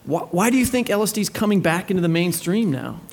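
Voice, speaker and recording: A man's voice: high-pitched, sing-song, fast, creaky. A man co-hosting a live podcast interview, talking in an informal, conversational way. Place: book fair event before an audience.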